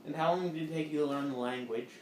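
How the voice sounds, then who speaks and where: A man's voice: fairly high pitch, fast, reverberant, slight background noise, low-quality recording. A man interviewing for an oral history, asking curious, polite questions with some fillers.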